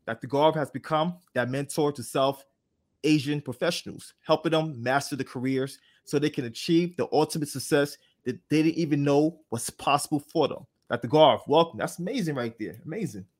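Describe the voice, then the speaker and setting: An upbeat man hosts a podcast, introducing guests and asking questions. His voice is a bit higher than most men's, and he sounds enthusiastic throughout. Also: brisk. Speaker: podcast host. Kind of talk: podcast hosting and interviewing.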